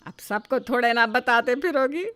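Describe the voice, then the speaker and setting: A woman's voice: on the high side, moderate pace, a bit bright, dry recording, close to an everyday voice. A woman voicing a blunt, teasing older mother-in-law in a family story, taunting and gossipy. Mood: admiring.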